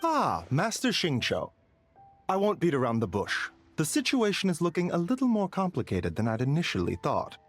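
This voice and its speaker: An actor playing a polite, composed doctor in a video game, speaking formally and carefully. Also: male voice, a little high, huge pitch swings, moderate pace, faint music behind. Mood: grave. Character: courteous, composed male physician, measured and modest. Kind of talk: English game voice acting.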